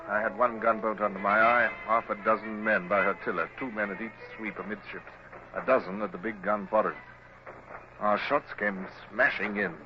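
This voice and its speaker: Guy playing a cool british navy captain in an old radio play, formal and commanding, low voice, lots of noise. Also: close to an everyday voice. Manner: measured, formal, authoritative. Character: male Royal Navy captain narrating his battles, cool, commanding. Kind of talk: vintage radio drama acting, first-person narration and orders. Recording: dull, noisy old radio drama recording.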